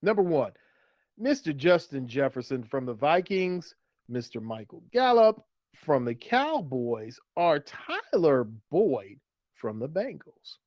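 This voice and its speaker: A man's voice: on the high side, big pitch swings, slow pace, a little mellow, no room sound. An American man hosting a fantasy football show in a conversational way, moving the talk along casually. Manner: conversational, steers segments and poses questions.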